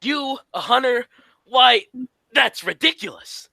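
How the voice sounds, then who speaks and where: A woman's voice: a little high, animated, slow pace, gravelly, pushed and bright, no echo, heavily acted. A woman playing a blustery, exasperated male straight man in an old-time radio comedy, scolding and repeating phrases emphatically.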